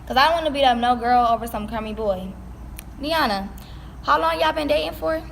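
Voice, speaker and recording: A woman's voice: high-pitched, fairly quick, rough recording. A young-sounding woman playing a blunt, sassy schoolgirl in casual skit dialogue with her friends. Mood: blunt, disapproving. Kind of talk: stage play video skit, casual dialogue. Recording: clear ambient background noise.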